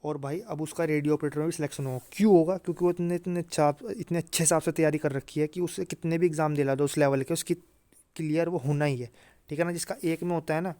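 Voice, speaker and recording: Higher-pitched man explaining informally, dry sound. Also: warm tone, dry recording. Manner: repetitive check-in tags, emphatic repetition. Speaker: exam-career video presenter. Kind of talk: informal explainer addressed to students.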